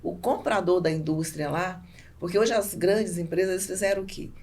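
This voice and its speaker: A woman's voice: fairly low pitch, normal speed, darkish tone. A Brazilian woman business owner answering casually as a podcast guest, often repeating and rephrasing herself.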